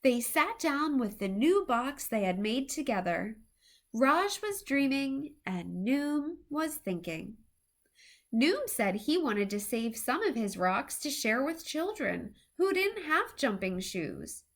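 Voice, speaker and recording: A woman reading a children's story aloud in an expressive, deliberate storytime style. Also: high-pitched. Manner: deliberate, animated delivery for young children.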